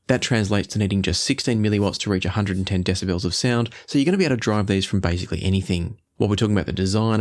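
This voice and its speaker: Guy doing a gear review, bit deep, talks fast, explains stuff in a measured way. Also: darkish tone. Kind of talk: product review to camera. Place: small treated home studio indoors.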